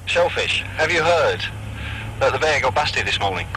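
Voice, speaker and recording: A man's voice: medium pitch, sing-song, moderate pace, background noise. A man who is a CB radio enthusiast, chatting casually and telling an anecdote.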